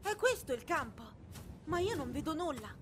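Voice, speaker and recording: An anxious, dramatic young anime player , acted by a woman. The voice is extremely high and leaps about, with some background noise and ambience. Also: very animated, normal speed. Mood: worried. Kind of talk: anime fan dub acting.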